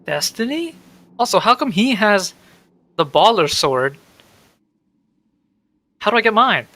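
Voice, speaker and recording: Bright-voiced young man reacting to a game. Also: sits high, big pitch swings, a little slow, no room sound. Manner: incredulous, exclamatory, blunt slang. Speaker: video game streamer. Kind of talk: casual gameplay reaction commentary.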